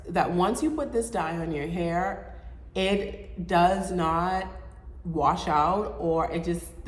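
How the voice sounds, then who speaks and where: Young woman vlog host, nasal with a cold, lowish voice, long reverb around her. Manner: chatty, informal, explaining step by step. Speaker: show host. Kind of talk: casual hair-care vlog. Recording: echoey room with long reverb.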